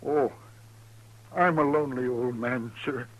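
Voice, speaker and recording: An actor playing a lonely old traveling medicine peddler with flowery, formal, pleading speech in a 1950s radio western. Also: male voice, medium pitch, steady tone, a little slow, lightly acted. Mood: wounded, pleading. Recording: noisy, humming old radio recording.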